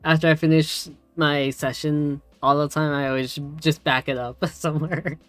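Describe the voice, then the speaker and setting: A woman's voice: sits low, steady tone, normal speed, dry recording. Woman chatting casually over background music. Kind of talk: casual chat while working on a project.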